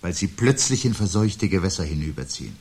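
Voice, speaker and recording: Low-voiced, gloomy intellectual man. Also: very animated, brisk, a little mellow, husky, heavily acted. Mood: ominous, lecturing. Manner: pensive, pedantic, declamatory. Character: gloomy, weary intellectual man who dreads birds and doom. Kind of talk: 1970s German radio play dialogue. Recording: hissy 1970s radio recording.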